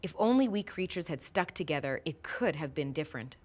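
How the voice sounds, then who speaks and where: A female teacher reading a picture book aloud to her class in an expressive storytelling manner. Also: low voice. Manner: expressive storytelling, slow and lively.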